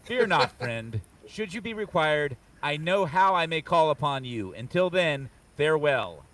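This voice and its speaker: A man's voice: a little high, very animated, unhurried, a bit bright. A man voicing a noble, courteous barbarian hero with formal, stately speech at a comic book table read, picked up away from the microphone. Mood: reassuring, stately. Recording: distant from the microphone.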